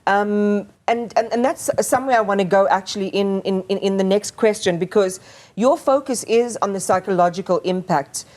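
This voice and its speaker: A woman's voice: mid-range voice, moderate pace, darkish tone. A woman anchoring a news interview, asking long, thoughtful questions and stumbling over repeated words.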